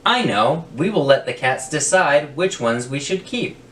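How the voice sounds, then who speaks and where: Man voicing high-pitched scolding old storybook woman. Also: very animated, measured pace, a bit bright, reverberant, far from the mic. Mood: decisive.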